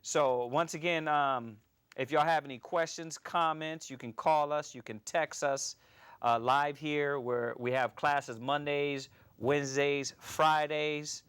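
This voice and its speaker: A man's voice: fairly high pitch, steady tone, takes it slowly, fairly bright tone, smooth, no echo. A man hosting a live religious show from a small studio, talking loosely with many fillers and restarts. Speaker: host.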